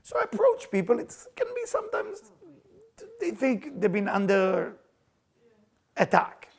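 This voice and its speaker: A middle-aged man with an Israeli accent, hosting a casual chat and telling personal stories in a playful, animated way, often laughing as he talks. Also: high voice, a little slow, creaky. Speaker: spiritual teacher and podcast host.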